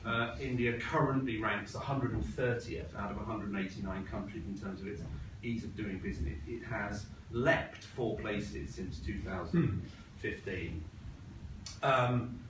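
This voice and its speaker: A middle-aged British man giving an informal business presentation in a measured way with wry asides. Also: lowish voice. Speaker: presenter, agency co-founder. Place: room at a talk event. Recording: roomy, noisy, distant mic.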